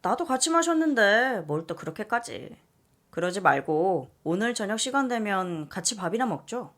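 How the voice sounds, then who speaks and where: A woman voicing a calm, playful young male office coworker who teases gently. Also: mid-range voice, normal speed. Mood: teasing. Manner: teasing, gently joking.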